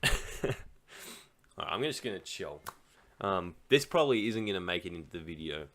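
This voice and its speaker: Aussie guy chatting through his chess games, thinking out loud, laughs a lot, lowish voice. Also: sing-song, moderate pace. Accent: Australian. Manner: rambling self-talk, laughs often, thinks aloud. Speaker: chess streamer. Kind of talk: casual commentary while playing online chess.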